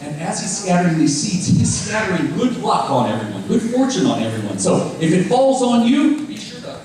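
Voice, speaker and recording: A man's voice: sits high, sing-song, moderate pace, a crowd in the background. Echoey, distant man introducing a stage show. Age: middle-aged. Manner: explanatory, addressing the audience directly with questions. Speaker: professor and puppet troupe leader introducing a show. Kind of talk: spoken stage introduction to an audience. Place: festival stage before an audience. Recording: very echoey, distant mic, faint noise.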